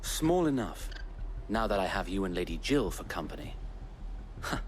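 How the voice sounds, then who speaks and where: Brief: chivalrous resistance knight for a fantasy game, lowish voice, measured and courteous, some ambient noise. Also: male voice, big pitch swings. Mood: confident. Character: loyal, chivalrous knight leading resistance fighters. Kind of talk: dramatic video game acting.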